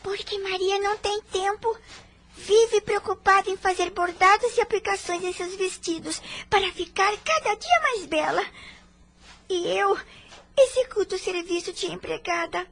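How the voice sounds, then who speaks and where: A woman's voice: very high-pitched, normal speed, a bit bright. A woman voicing a sad, humble twelve-year-old orphan servant girl in a radio drama, in a plaintive, childlike way. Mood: plaintive, resigned.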